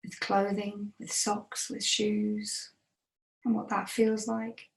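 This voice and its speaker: Echoey, gentle woman guiding meditation. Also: mid-range voice, monotone, creaky. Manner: gentle, unhurried, with long pauses. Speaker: meditation teacher leading a body scan. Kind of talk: guided mindfulness meditation. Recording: roomy, echoing sound.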